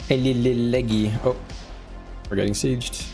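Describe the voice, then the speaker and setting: A man's voice: mid-range voice, fairly even intonation, measured pace, warm tone, faint music behind. A man who streams games, giving casual live commentary and rambling as he thinks aloud. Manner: rambling, thinking aloud, often clearing throat. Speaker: game streamer.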